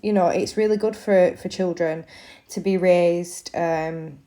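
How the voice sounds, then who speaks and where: A woman's voice: lowish voice, steady tone, unhurried, dark tone. A woman in her thirties with a stuffy cold, chatting through a voiceover with lots of ums. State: congested with a cold. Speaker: craft vlogger. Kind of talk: casual voiceover narration. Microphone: voiceover mic.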